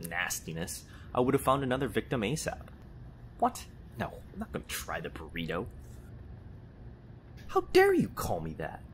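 A man's voice: on the low side, slight background noise. A man playing a grumpy, easily flustered vampire in a comedy audio roleplay, sarcastic and huffy in manner. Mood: disgusted, grudging. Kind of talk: comedy ASMR roleplay acting.

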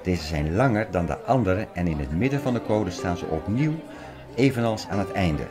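An actor playing an obsessive, agitated conspiracy theorist in an audio drama, lecturing earnestly and insistently. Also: male voice, sits low, animated, moderate pace, a crowd in the background. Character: obsessive, agitated male conspiracy theorist expounding apocalyptic prophecies. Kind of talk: Dutch audio drama acting. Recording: music behind the voice.

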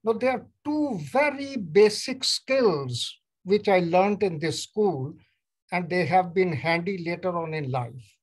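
Slow, husky older Indian man on video call. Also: high-pitched, lively intonation. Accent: Indian English. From Punjab, India. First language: Punjabi. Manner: measured, storytelling, acts out quoted exchanges. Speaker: neurologist guest. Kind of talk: recounting life story in an interview. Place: home room, indoors.

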